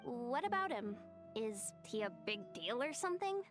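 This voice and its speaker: A woman's voice: on the high side, sing-song, normal speed. A woman voicing a perky, childlike girl sidekick who blurts and flusters easily, in cartoonish video game acting. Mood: curious, eager. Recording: game audio under background music.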